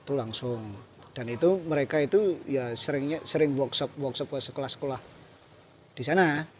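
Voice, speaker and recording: A man's voice: medium pitch, moderate pace, a little hiss or hum. A man from Central Java with a Javanese accent, chatting casually as a guest puppeteer and chuckling often. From Central Java, Indonesia. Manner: relaxed, mixes Javanese and Indonesian, chuckles often. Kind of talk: casual interview chat.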